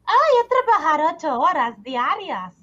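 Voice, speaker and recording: Radio satire: boastful factory hand, broken grammar, shrill high pitch. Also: big pitch swings, unhurried, bright, forceful tone, highs cut off, exaggerated voice. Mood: cheerful. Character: cheerful American factory worker Johnny speaking broken Spanish. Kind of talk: satirical radio drama sketch.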